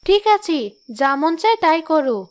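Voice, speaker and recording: A woman voicing a caring wife and mother in a cartoon, calm and reassuring. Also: very high-pitched, moderate pace. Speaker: narrator and mother.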